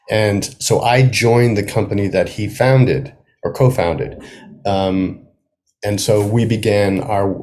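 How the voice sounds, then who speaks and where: A man's voice: fairly low pitch, a little mellow. A middle-aged man speaking as a guest in a casual interview, searching for his words with self-deprecating humor. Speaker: guest, playwright.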